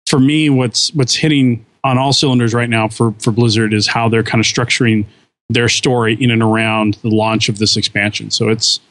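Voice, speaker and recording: A man's voice: medium pitch, steady tone, normal speed, a bit bright. A man co-hosting a casual podcast, talking with enthusiasm and often restarting his sentences. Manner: enthusiastic, rambling with frequent restarts. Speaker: podcast co-host.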